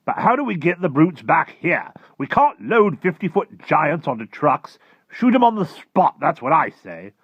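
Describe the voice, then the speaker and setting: Trigger-happy storybook general, male narrator acting: high, animated, loud military bluster, easily outraged. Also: a little slow, husky, no room sound, highs cut off, heavily acted. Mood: indignant.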